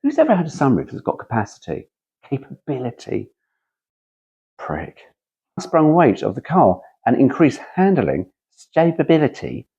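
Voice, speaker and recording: A man's voice: medium pitch, huge pitch swings, a little slow, creaky, dark tone, breathy edge, highs cut off. A man reading aloud casually as a host, breaking off for chatty, wry, digressive asides.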